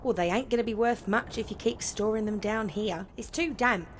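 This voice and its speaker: An actress playing a homely, practical, fretful housekeeper in an audio drama, plain-spoken and colloquial. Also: female voice, mid-range voice, moderate pace, slight background noise, close to an everyday voice. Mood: fussing, homely.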